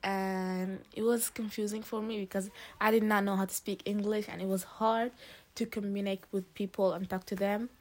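A teenage girl from Lebanon, a high school student answering interview questions in a casual, hesitant way with many fillers and restarts. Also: flat delivery, normal speed, a little mellow. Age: teens.

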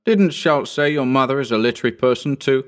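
A man voicing a sly, smooth-talking male fugitive in an audiobook reading. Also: medium pitch, brisk, pitched above the performer's own voice, lightly acted. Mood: probing.

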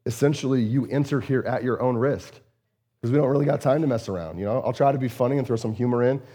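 A man, a lead pastor, preaching in an earnest, conversational way, often repeating words and using fillers, his voice a bit strained and hoarse. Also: mid-range voice, fast talker, warm tone. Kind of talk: conversational sermon.

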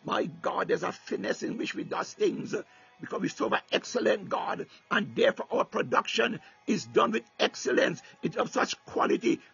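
A man's voice: high voice, expressive, normal speed, gravelly, a bit bright, husky. A man preaching a fervent sermon with prayer, repeating "my God" between phrases and breaking into tongues. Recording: dull lo-fi recording.

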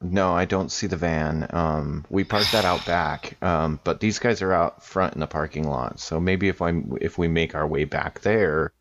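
Very deep male player, slightly dull lo-fi audio, easygoing. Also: unhurried, few pauses, fairly bright tone, slight background noise. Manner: easygoing, with many fillers. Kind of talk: casual tabletop game play, in character.

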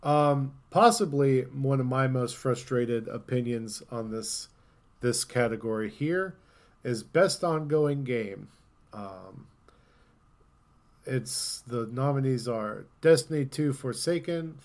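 A man's voice: mid-range voice, takes it slowly. A man co-hosting a gaming podcast in casual conversation, thinking aloud with some hesitation. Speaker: podcast co-host.

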